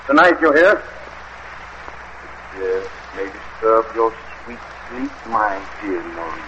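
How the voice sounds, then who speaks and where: Cruel gloating husband, wildly swooping voice. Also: male voice, lowish voice, sing-song, slow pace, a bit bright, a faint crowd, full character voice. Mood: menacing command. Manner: theatrical, mocking, cackling delivery. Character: cruel gloating husband, mad with jealous vengeance. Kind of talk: old-time radio horror drama acting. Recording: muffled, hissy old radio drama recording.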